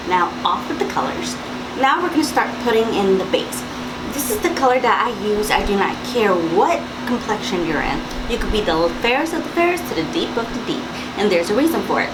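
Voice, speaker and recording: A young woman with a raspy morning voice, chatting and instructing through a makeup tutorial at home. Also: mid-range voice, big pitch swings, a little fast, over loud music, low-quality recording. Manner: chatty, instructive, with fillers and asides. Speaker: makeup vlogger. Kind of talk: makeup tutorial talk to camera. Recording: loud background hiss and air-conditioner hum.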